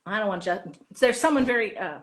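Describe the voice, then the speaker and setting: A woman's voice: medium pitch, lively intonation, fairly quick. A woman talking casually as an interview guest, chatty and given to acting out bits of dialogue. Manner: chatty, acts out quoted dialogue. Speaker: interview guest, author and drama teacher. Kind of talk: animated casual interview talk.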